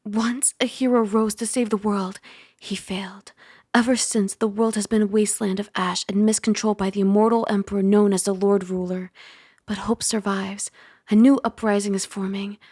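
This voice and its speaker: A woman playing a caring, teasing young dragon girl roommate in a soft-spoken ASMR roleplay, gentle and conversational on a close mic. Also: medium pitch, level tone, moderate pace, no echo, natural voice. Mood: dramatic reading. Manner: gentle, playful, conversational, with sighs and hushed asides. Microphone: close microphone.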